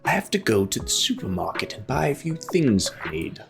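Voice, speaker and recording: A man's voice: fairly low pitch, big pitch swings, brisk, gravelly. A man doing casual let's play commentary, reading visual novel text aloud and breaking off for joking asides. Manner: joking, self-interrupting asides. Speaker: gaming video host. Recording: background music behind the voice. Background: game music.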